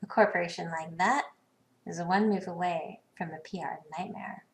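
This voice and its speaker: An actress playing a poised, worldly corporate professional in a video game, speaking in a knowing, conversational way. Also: female voice, fairly low pitch, normal speed, lightly acted. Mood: assured. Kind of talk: video game dialogue lines.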